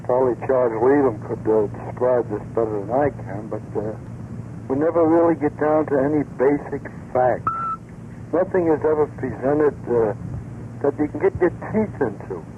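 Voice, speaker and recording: A man calling a vintage radio show by telephone, talking casually in a halting, sceptical but persistent way. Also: medium pitch. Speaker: phone-in caller. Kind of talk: casual opinion on a radio call-in. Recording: tinny phone line on vintage radio, noisy.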